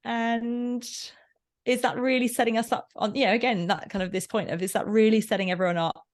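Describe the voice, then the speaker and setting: A woman's voice: a little high, little pitch movement, fairly quick. A woman speaking as an interview guest in a casual, discursive way, often rephrasing mid-sentence. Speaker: podcast guest, workplace learning facilitator.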